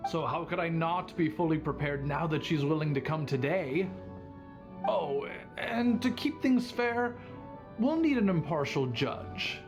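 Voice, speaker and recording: A man's voice: fairly high pitch, expressive, measured pace, over music. A man voicing a polite, eager and slightly nervous young bartender in an anime game, courteous and hesitant.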